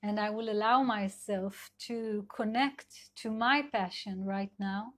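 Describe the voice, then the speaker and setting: A middle-aged woman with an Israeli accent, a sex and couple therapist whose first language is Hebrew, giving a live online talk in a gentle, searching way with many fillers. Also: medium pitch, steady tone, slow pace. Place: at home in Israel.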